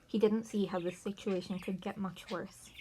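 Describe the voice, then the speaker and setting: A woman's voice: medium pitch, fairly even intonation, normal speed, dark tone. A woman reading fan fiction narration aloud as an amateur podfic reader. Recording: noisy amateur recording with birds chirping.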